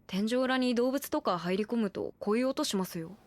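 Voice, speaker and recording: A woman's voice: fairly high pitch, normal speed, natural voice. Woman voicing a matter-of-fact young anime woman. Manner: polite but casual, deadpan explanations. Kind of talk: Japanese anime audio drama acting.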